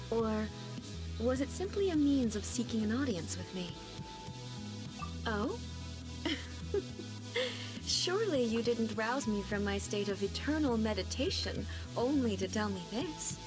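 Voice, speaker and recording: An aloof ruler of eternity. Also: female voice, a little high. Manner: calm, measured, formal and detached. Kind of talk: video game voice acting.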